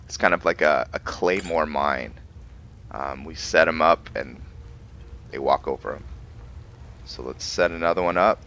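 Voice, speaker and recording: A man's voice: a bass voice, measured pace, gravelly, long pauses, fairly bright tone, dull audio, slight background noise. A man giving casual commentary on a roleplay video game, thinking aloud to himself as he plays. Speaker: gamer hosting a roleplay playthrough.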